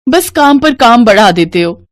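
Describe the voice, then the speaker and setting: A woman playing a testy, high-pitched young daughter-in-law. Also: expressive, no echo, clean studio sound. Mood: grumbling. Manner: complaining, brisk, defensive. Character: irritable, stubborn young daughter-in-law in a household. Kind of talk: scripted Hindi moral-story cartoon voice.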